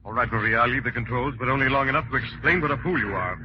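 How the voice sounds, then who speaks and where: A fast-talking actor as a wealthy young man about town turned adventurer, assured and brisk. His mid-pitched voice comes through a muffled, slightly noisy 1940s radio drama recording. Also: close to an everyday voice. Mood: urgent, commanding.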